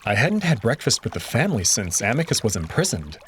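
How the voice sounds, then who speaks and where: A man narrating a story in the first person in an expressive storytelling manner. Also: fairly low pitch, a little fast, slight background noise. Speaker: audio drama narrator.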